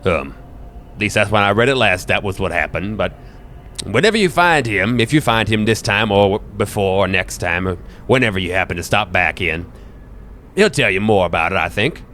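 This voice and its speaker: A man's voice: on the low side, lively intonation, moderate pace, sharp tone, slight background noise. A man voicing a genial, dotty old bookshop keeper in a tabletop roleplay, hesitant, apologetic and full of folksy exclamations. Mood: genial, cryptic.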